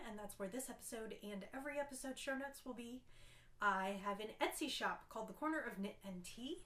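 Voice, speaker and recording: A congested woman with a stuffy, nasal voice hosts her knitting podcast, chatting easily with plenty of ums. Her voice is bright and fairly high, and the room around her rings with echo. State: congested from a cold. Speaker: podcast host. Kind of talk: casual knitting video podcast chat.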